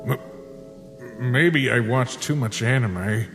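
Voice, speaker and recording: A man voicing an awkward, excitable young skeleton monster in a comic dub, hesitant and casual, prone to exclaiming. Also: medium pitch, drawn-out words. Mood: confused, sheepish. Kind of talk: dramatic comic dub voice acting. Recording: long hall reverb, prominent music behind.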